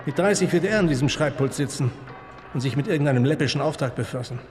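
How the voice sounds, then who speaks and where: A man's voice: a little high, lively intonation, fast, darkish tone, long reverb tail, soft background music, natural voice. A man playing a dry, scornful narrator in a radio drama monologue. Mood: sardonic. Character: sardonic narrator sneering at provincial gentry.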